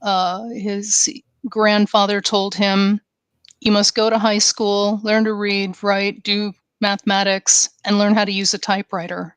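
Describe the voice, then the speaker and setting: A woman co-hosting a casual podcast, speaking earnestly and haltingly with frequent ums. Her voice is smooth and clear but fairly flat in pitch. The sound is dry and close to the mic. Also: no room sound. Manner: many fillers, halting, earnest. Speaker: podcast co-host.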